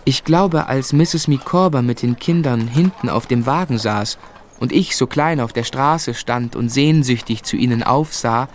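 An actor playing a grown man narrating memories of his hard boyhood in a measured, reflective literary style. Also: mid-range voice, slight background noise, natural voice. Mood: reflective, wistful.

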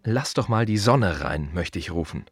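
An aging gravedigger in a radio play monologue, with a very low voice over soft music. He is weary and reflective, fond of silence. He muses in terse fragments, circling back to repeat his phrases. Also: animated, normal speed. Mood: insistent, pondering.

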